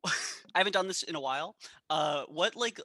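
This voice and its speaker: Bright-voiced man chatting casually. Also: on the high side, animated, moderate pace, no echo, clean studio sound. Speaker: podcast guest performer. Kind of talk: casual group banter.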